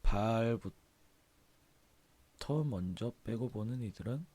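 A man with a hoarse, sore-throated voice, chatting casually on a livestream as he reads aloud and comments offhandedly. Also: on the low side, slow, mellow. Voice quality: hoarse, husky. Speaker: game streamer.

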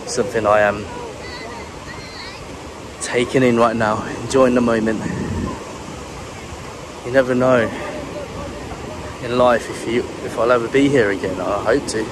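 A British man from England giving monotone, relaxed commentary while walking a beach outdoors, filming on a handheld camera. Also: mid-range voice, unhurried, long pauses. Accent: British English. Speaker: travel vlogger. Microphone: handheld camera carried while walking. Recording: clear wind and surf noise. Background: ocean waves and breeze.

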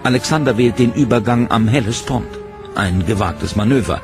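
Gravelly male documentary narrator. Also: medium pitch. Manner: measured, dramatic storytelling. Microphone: studio voice-over mic. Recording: music bed under narration.

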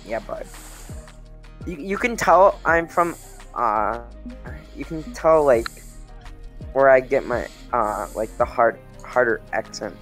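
A man's voice: mid-range voice, expressive, slow pace, pauses often, a bit bright, faint music behind. A young man from Minnesota with a Minnesota accent, chatting casually over voice chat while gaming and often stumbling over his words. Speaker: gamer on voice chat.

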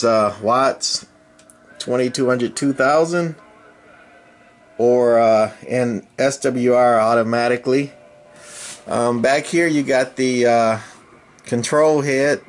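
Male hobbyist presenter, medium pitch, casual and unscripted, halting matter-of-fact explanations, handheld camera sound. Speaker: hobbyist presenter demonstrating radio gear. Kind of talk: casual unscripted product demonstration. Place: indoors at a home radio bench. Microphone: handheld camera mic, speaker behind camera.

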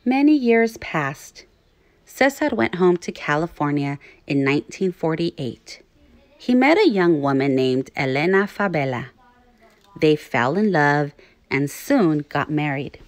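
Woman reading to children, very lively intonation. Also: low voice, a little slow, no echo. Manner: clear teacherly phrasing with questions to young listeners. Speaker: teacher reading aloud. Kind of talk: children's read-aloud narration.

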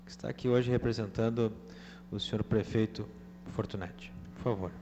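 A man's voice: on the low side, fairly even intonation, brisk. A man chairing a session, speaking in a formal procedural way. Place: large council chamber, indoors. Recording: echoey hall, mains hum and noise.

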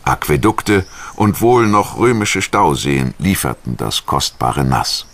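A man reading scripted documentary narration in a measured, formal manner, as a studio voice-over. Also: extremely low pitch, very animated, fairly bright tone. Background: music.

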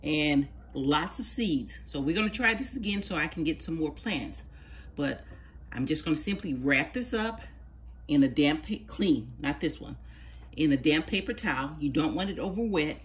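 A woman's voice: deep voice, animated, moderate pace, slight background noise. A woman casually narrating a home gardening how-to in her sunroom, chatty and patient as she works with her hands. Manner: homey, patient, chatty explaining. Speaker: home gardener showing seed starting.

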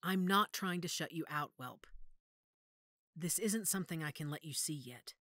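A woman playing a motherly, firm female werewolf pack leader in an audio drama, measured and authoritative. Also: low-pitched, a bit bright, no room sound. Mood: firm, stern.

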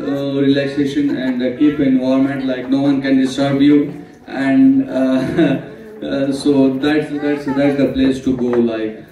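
A hesitant man speaks off the cuff, his delivery flat and full of "uh" and "um". The sound is dull and muffled, heard from far off in a very echoey hall. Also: on the high side. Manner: hesitant, filled with uhs and ums. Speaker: intern pharmacist. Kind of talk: impromptu remarks at an event. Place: large hall at a multicultural event.

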